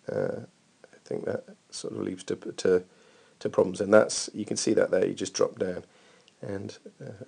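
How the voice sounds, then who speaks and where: A man's voice: sits low, creaky, a little mellow. A man giving informal running technique feedback over a training video as a coach, thinking aloud in a hesitant way.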